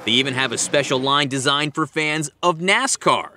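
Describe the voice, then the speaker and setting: A man's voice: fairly high pitch, normal speed, background noise. A man reading a scripted news feature as a reporter, with wry, playful delivery. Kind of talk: scripted narration.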